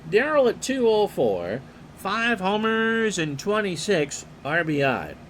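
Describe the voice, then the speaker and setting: A man calling a replayed baseball game play-by-play in a calm, unhurried way, reading player stats and chatting with viewers. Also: sits high, slight background noise, poor audio. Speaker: play-by-play announcer and streamer.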